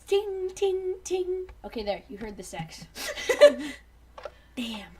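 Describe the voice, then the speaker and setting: A woman joking crudely and casually with friends as she hosts a fan fiction reading, giggly and quick to slip into mock voices. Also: very high voice, big pitch swings, darkish tone, a little hiss or hum. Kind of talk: casual joking group chat.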